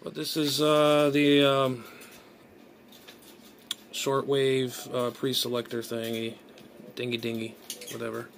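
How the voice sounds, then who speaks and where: Male hobbyist vlogger, mid-range pitch, halting think-aloud delivery, casual and unrehearsed, noisy phone recording. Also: monotone, vocal fry. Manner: hesitant, muttering, thinking aloud. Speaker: amateur radio hobbyist vlogger. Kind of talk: casual unscripted gadget unboxing commentary. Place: small bedroom, indoors. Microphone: phone at arm's length.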